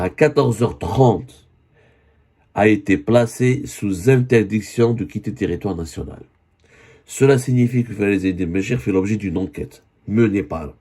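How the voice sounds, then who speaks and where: A man with a voice hoarse from a cold, giving an earnest spoken news commentary and often correcting himself. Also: lowish voice, mellow. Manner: earnest, with many self-corrections.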